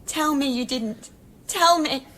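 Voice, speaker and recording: A fragile, anguished woman in a radio play. Her voice is extremely high and bright, and she speaks slowly and haltingly with raw intensity. Faint ambient noise sits behind her. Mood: bitter, despairing. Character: Rhea, anguished, fragile woman doubting her own identity. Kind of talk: radio drama acting.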